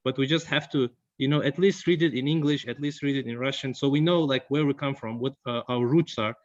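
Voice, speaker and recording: A young man, giving a long, halting comment at a book discussion, full of fillers and restarts. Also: mid-range voice. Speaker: audience member at a book discussion. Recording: dull lo-fi recording.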